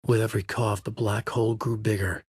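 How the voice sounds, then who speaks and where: A haunted novelist narrating a horror video game. His voice is husky and sits fairly low, recorded dry and close. He delivers hard-boiled prose gravely and deliberately, brooding over every line. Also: flat delivery, dry recording. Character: troubled male novelist narrating his dark horror story.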